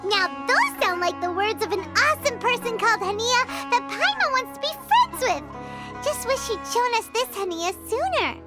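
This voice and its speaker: A tiny, bubbly fairy companion from a video game, extremely high and childlike. The delivery is cheeky and swoops up and down, with prominent music behind it. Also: female voice, sing-song, moderate pace. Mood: perky. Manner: bubbly, refers to herself in third person. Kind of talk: animated video game voice acting.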